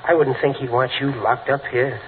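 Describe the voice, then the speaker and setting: A man's voice: medium pitch, fairly even intonation, moderate pace, close to an everyday voice. Man acting a folksy, hesitant working guy, old tinny radio. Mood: earnest, gentle. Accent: American. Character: kindly, plain-spoken, bashful working man, easily spooked. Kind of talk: 1940s American radio comedy acting. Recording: tinny, band-limited old radio with faint noise.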